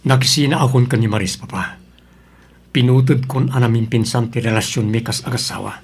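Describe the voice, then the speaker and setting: A man's voice: medium pitch, steady tone, fairly quick, a little hiss or hum, close to an everyday voice. A man with an Ilocano accent playing a stubborn son and cold husband in an audio drama. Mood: firm, cold. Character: stubborn adult son, cold husband leaving his pregnant wife.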